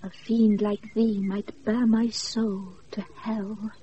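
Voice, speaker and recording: A woman's voice: mid-range voice, level tone, slow pace, close to an everyday voice. An actress playing a proud, passionate, lovesick noble lady in a radio play, with formal, yearning verse delivery and a British accent. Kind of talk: classical radio play acting. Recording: dull, noisy off-air radio recording.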